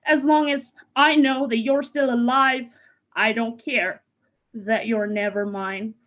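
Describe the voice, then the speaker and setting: Slow woman reciting yearning poetry. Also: fairly high pitch, steady tone, fairly bright tone. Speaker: poetry contestant. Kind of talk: spoken-word poetry recital.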